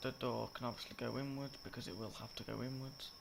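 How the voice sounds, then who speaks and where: Rambly lad doing a game tutorial, croaky sick throat, kinda gravelly. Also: male voice, mid-range voice, fairly even intonation, fast. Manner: rambling, self-correcting, filler-heavy. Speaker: gaming tutorial creator. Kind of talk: casual gameplay tutorial commentary. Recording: loud game noise behind. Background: game sound effects and clicks.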